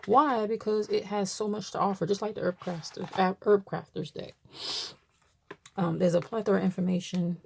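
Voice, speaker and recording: A woman's voice: medium pitch, fairly even intonation, moderate pace, vocal fry, a little hiss or hum. A woman from Baltimore chatting casually to camera, rambling with many fillers as she shows items. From Baltimore, USA. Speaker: tarot deck reviewer.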